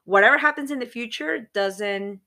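A woman's voice: fairly high pitch, moderate pace, bright, forceful tone. A woman in her thirties co-hosting a casual podcast chat, earnest and reflective, talking in long run-on thoughts. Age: 30s. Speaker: podcast co-host.

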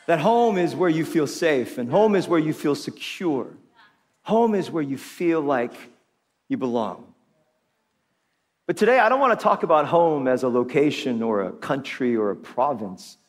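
High-voiced pastor preaching in a hall. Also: male voice, normal speed. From Philippines. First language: Tagalog. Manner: animated, humorous storytelling preacher. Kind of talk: sermon. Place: church auditorium. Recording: long hall reverb.

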